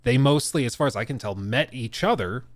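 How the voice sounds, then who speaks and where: Male podcast host, chatty and informal livestream commentary, slangy, slightly low voice, dry close sound. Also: animated, brisk, no echo. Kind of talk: casual livestream gaming news commentary.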